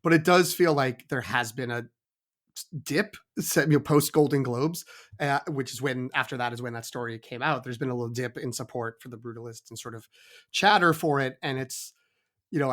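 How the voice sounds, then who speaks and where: A man discussing film awards casually as a podcast panelist, measured and conversational. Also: on the high side, fairly bright tone.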